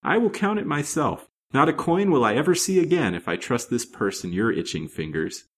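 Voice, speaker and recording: A man's voice: on the low side, big pitch swings, brisk, husky. A man voicing a fierce, greedy old woman with a defiant, shrieking manner in a dramatic story reading. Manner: shrieking, loud defiant outbursts.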